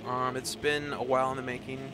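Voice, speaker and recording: A man's voice: mid-range voice, flat delivery, a little fast, background noise. A man who sings and plays guitar answers interview questions thoughtfully and hunts for words with frequent ums. Spanish was his first language. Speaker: singer and guitarist. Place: music club.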